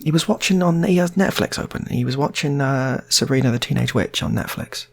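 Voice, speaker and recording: British man, husky creaky voice, rambling improvised storytelling, casual, repetitive. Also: medium pitch, fairly quick.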